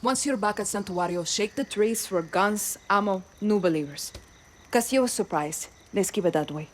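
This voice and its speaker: Woman voicing video game rebel commander, bold, rallying, brisk orders, middle pitch, light background noise. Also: natural voice. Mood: rallying, confident. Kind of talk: video game character acting.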